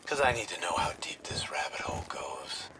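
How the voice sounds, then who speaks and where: An actor playing a bitter, righteous rogue soldier in a video game, speaking in impassioned rhetorical speeches. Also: male voice, deep voice, big pitch swings, moderate pace, whispered. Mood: cold, cryptic. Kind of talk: video game cutscene acting. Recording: loud battle and vehicle noise.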